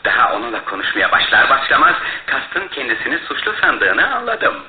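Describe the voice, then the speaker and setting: An actor in a radio play as a shrewd, self-assured male detective who explains his case in a theatrical, deliberate way. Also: mid-range voice, very animated, a little hiss or hum, lightly acted. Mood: accusing, methodical. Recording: muffled, roomy radio drama recording.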